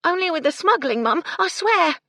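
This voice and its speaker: A woman's voice: high-pitched, animated, moderate pace, a bit bright, no room sound, studio quality, pitched above the performer's own voice. A woman voicing a distraught, outspoken young housemaid in a story, with colloquial, ungrammatical servant speech. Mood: tearful, anxious.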